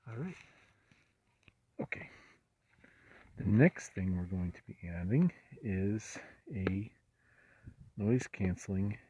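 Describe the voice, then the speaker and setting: Slow-talking man with a gravelly voice. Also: lowish voice, darkish tone. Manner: unscripted, muttering through tasks in short phrases. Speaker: host of a truck build vlog. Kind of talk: casual hands-on installation walkthrough. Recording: dull muffled sound with background noise.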